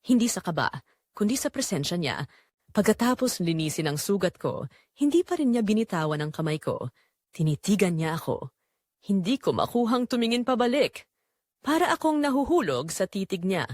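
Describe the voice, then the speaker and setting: A woman reading a scripted first-person dramatic story in an emotive, measured storytelling manner. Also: mid-range voice, big pitch swings, darkish tone, no room sound, clean studio sound. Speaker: narrator.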